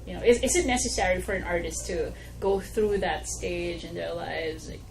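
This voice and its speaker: A woman host asking slow, hesitant interview questions with many fillers. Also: on the low side, creaky, a little mellow, a little hiss or hum, low-quality recording. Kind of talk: casual interview.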